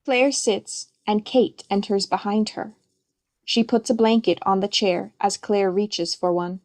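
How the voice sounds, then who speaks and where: Mid-pitched woman reading stage directions. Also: fairly even intonation, a little slow, a little mellow. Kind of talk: scripted narration, present tense.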